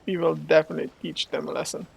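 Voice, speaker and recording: A woman's voice: low voice, little pitch movement, very fast, creaky, a little hiss or hum, low-quality recording. A woman giving offhand commentary as she plays a video game, sometimes reading out dialogue choices. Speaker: gaming YouTuber playing a game. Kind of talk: casual playthrough commentary.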